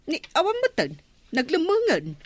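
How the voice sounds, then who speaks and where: An actress playing an excitable, evasive village gossip with an Ilocano accent in a radio comedy drama, full of exclamations. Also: female voice, extremely high pitch, moderate pace, no echo, heavily acted. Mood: gossipy.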